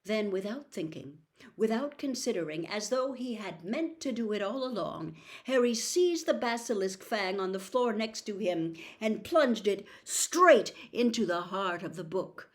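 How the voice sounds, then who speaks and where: A woman reading a storybook aloud as narrator, expressive and suspenseful, with dramatic pauses. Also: medium pitch. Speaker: audiobook reader, host.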